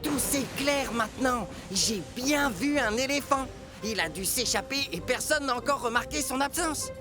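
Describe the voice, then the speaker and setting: Eager, high-voiced little cartoon engine. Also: animated, normal speed, music in the background. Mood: amazed. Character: cheeky young purple tank engine, male, eager and earnest. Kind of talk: children's animated series dub.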